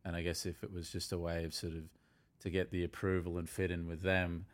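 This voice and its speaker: A man's voice: low voice, monotone, brisk. A man co-hosting a podcast, chatting casually and relating his own experiences to the guest's. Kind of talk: casual chat.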